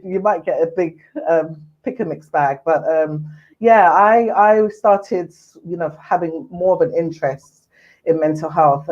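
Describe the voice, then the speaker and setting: A woman's voice: deep voice, warm tone. A woman in her forties from Ghana, a mental health services manager who speaks in an earnest, reflective way as an interview guest over a video call. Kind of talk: casual interview chat. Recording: muffled lo-fi audio.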